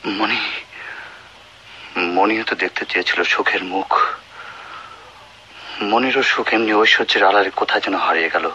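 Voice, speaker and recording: An actor with a Bangladeshi accent playing a humble, melancholy doctor in a radio drama, courteous and hesitant. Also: male voice, fairly low pitch, unhurried, long pauses, pushed and bright. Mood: sorrowful musing. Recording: dull, noisy old radio recording.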